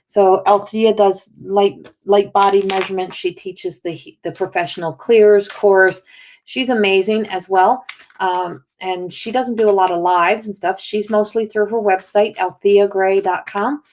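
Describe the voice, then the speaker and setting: A woman's voice: mid-range voice, steady tone, measured pace, darkish tone, a little hiss or hum. Chatty woman with a strained voice. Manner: chatty and rambling, greets viewers by name. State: strained, failing voice. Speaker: oracle card reader and spiritual healer. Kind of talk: casual livestream chat.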